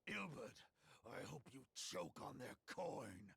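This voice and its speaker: Very gravelly vengeful warrior general. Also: male voice, mid-range voice, big pitch swings, takes it slowly, a bit bright, no echo, heavily acted. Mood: bitter fury. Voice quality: gravelly, harsh. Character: gruff, enraged, vengeful male general, battle-hardened warrior. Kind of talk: theatrical game cutscene acting.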